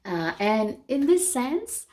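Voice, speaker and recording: A woman reading a children's story aloud in an expressive way, exclaiming and putting questions to her listeners. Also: on the high side, slow. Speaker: storytime reader for a children's book club. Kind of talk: animated children's read-aloud with commentary to listeners.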